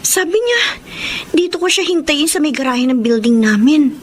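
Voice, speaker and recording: A woman's voice: high-pitched, expressive, normal speed, a little hiss or hum. An actress playing a devoted, evasive Filipino woman of twenty-five in an emotive radio drama style. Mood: smitten. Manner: emotive, coy and pleading. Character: devoted, evasive 25-year-old girlfriend and dutiful daughter.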